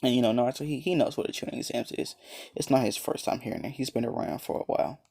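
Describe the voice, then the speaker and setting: A man with a raspy, just-woken-up voice telling a fan story in a casual, rambling way. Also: mid-range voice, quick pace, dark tone. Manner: rambling, laid-back, lots of fillers. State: just woke up, dry voice. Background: background music.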